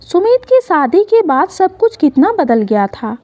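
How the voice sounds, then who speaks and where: A woman narrating a scripted emotional story in an expressive, dramatic reading style. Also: high-pitched, measured pace, no echo.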